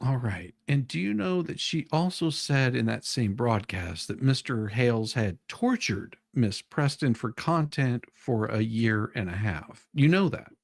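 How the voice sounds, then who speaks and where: A man's voice: mid-range voice, lively intonation, unhurried, creaky, few pauses, no echo, clean studio sound. A man hosting, switching between casual playful chat and dramatic reading of legal questions. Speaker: host.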